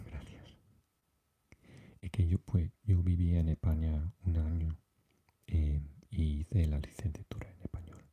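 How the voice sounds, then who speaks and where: A man with a Swansea Welsh accent, chatting softly with live viewers and often dropping into an unvoiced whisper. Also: level tone, moderate pace, slightly rough. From Wales. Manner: mixes unvoiced whispering with low, deep speaking. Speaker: ASMR live streamer reading chat. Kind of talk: soft-spoken live chat with viewers.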